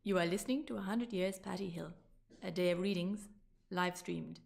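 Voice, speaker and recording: A woman's voice: medium pitch, normal speed, vocal fry. A woman announcer reading a scripted announcement for a live-streamed event.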